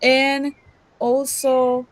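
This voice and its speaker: A woman in her twenties from El Salvador introducing herself to her English class, speaking with long pauses. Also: sits high, monotone. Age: 20s. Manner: slow, pausing between words. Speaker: student.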